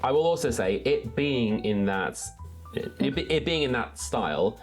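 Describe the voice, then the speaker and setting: A man's voice: mid-range voice, animated, moderate pace, soft background music. A man hosting a book channel, chatting casually and earnestly to viewers with enthusiasm.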